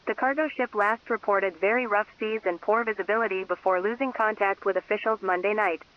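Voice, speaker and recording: A woman's voice: medium pitch, brisk. A woman reading a scripted news update as a radio station announcer. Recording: dull, lo-fi radio sound.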